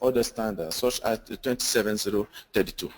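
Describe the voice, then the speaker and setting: A man's voice: on the low side, fairly quick. Earnest man. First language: French. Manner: earnest, with repeated fillers, restarts and rhetorical questions. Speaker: webinar presenter. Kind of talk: webinar presentation on security standards.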